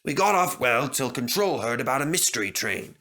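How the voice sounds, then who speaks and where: A runaway steam engine from a children's storybook, voiced by a man. He sounds weary, grateful and earnest, with a mid-range, bright voice. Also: mid-range voice, big pitch swings, a little fast.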